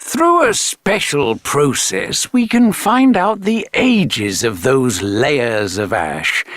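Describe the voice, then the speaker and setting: Man voicing a slow, measured scientist. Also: mid-range voice, sing-song, a bit bright, no room sound. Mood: explanatory. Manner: measured, explanatory. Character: calm, informative male paleontologist explaining to a boy. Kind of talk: storybook character reading.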